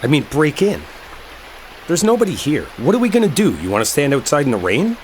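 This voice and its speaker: A man's voice: on the high side, big pitch swings, a little fast, a little hiss or hum. A man playing an exasperated, sarcastic, stubborn guy in a dramatic roleplay audio, talking impatiently with rain behind him. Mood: agitated, urgent. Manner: impatient, sardonic, conversational, with mild swearing. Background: rain storm ambience.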